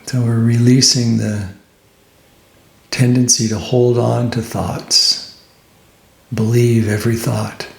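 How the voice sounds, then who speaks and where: A man leading a guided meditation as a teacher, speaking gently and unhurriedly, with pauses between phrases. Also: medium pitch, little pitch movement, gravelly, reverberant.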